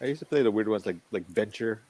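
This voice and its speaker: Low-pitched middle-aged man chatting casually. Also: fast talker, crowd noise. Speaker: podcast co-host. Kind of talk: casual chat.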